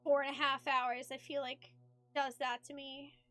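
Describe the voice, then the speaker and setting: Bright, high-voiced woman streamer. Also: little pitch movement, unhurried. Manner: hesitant, rambling, informal. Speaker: streamer doing a game playthrough. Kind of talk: casual livestream chat.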